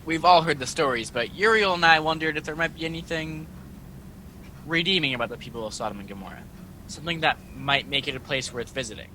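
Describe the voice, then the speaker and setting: A man playing a calm, courteous angel in a radio play, speaking in a formal, measured manner. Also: fairly high pitch, natural voice. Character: calm, wise, courteous male angel in disguise. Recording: noisy home video-call recording.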